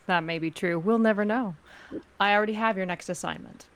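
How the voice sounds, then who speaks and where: A woman's voice: medium pitch, lightly acted. Woman as a cool, commanding crime-syndicate spymaster. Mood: cool, businesslike. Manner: measured, formal, controlled. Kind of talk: amateur script table read.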